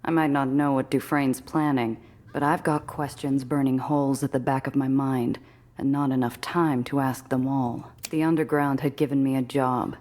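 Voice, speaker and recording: A woman's voice: extremely low pitch, steady tone, moderate pace, darkish tone. A woman playing a tough, guarded smuggler who narrates her own noir game story in a wry way. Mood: wary, brooding.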